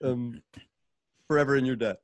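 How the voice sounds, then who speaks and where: A man's voice: mid-range voice, moderate pace, dry recording. A man who writes for a radio drama, talking in an earnest, reflective way. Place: indoors at home on a video call.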